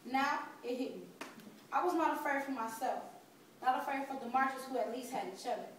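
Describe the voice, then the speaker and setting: A frightened marcher gives a first-person account in a young woman's dramatic reading. Her high voice sits far from the microphone in a very echoey hall. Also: fairly quick, lightly acted. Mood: fearful recollection. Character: frightened marcher recounting flight under thrown bottles. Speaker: student performer in a dramatic reading. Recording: very echoey hall, distant, faint noise.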